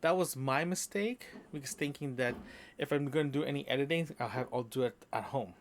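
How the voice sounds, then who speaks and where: Male podcast host, mid-pitched voice, loose rambling audio-diary delivery, lots of restarts. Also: a little fast, a little hiss or hum. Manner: rambling, many restarts and fillers. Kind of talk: casual solo audio diary. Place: apartment room.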